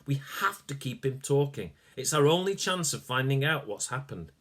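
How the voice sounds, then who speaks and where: A man voicing an excitable young boy hero in a storybook reading. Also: mid-range voice, normal speed, voice pushed up. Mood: urgent.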